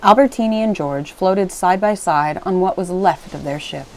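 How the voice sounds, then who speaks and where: A woman reading a picture book aloud as an expressive storyteller. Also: lowish voice, normal speed, slight background noise.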